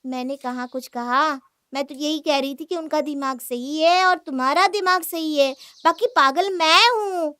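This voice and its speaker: A woman's voice: sits high, moderate pace, pushed and bright, no room sound, pitched above the performer's own voice. A woman voicing a jealous, sulky young married woman in a cartoon moral story, complaining and argumentative. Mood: sarcastic, aggrieved.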